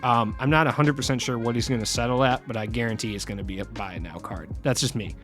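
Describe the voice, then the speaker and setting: A man's voice: medium pitch, quick pace, a bit bright, soft background music. A young man with a scratchy voice, casually rambling through game market tips as a channel host and often trailing off mid-thought. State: scratchy voice after a long recording session.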